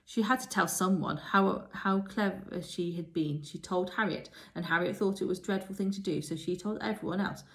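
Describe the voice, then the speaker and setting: A woman voicing an excitable, bossy young schoolgirl witch, emphatic and gossipy, in a children's storybook. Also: on the low side, normal speed, hardly any pauses, close to an everyday voice.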